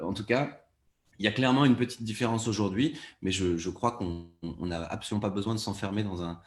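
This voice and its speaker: A man's voice: deep voice, a little mellow, roomy sound. A man speaking as a producer in a panel discussion, explaining things spontaneously and often repeating words as he thinks. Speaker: panelist, fiction podcast producer.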